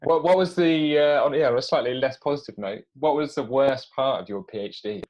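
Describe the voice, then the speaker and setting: A man hosts an interview in an everyday, middle-pitched voice. He puts his questions in a conversational way, as the host of a profile series would. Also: a little fast, darkish tone, dull audio.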